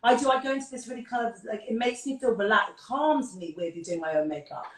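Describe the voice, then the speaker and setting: A woman's voice: on the high side, a bit bright, echoey room, recorded from a distance. An English woman, a makeup artist, chatting effusively over a video call. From England. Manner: gushing, effusive, quick to agree. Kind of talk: casual chat.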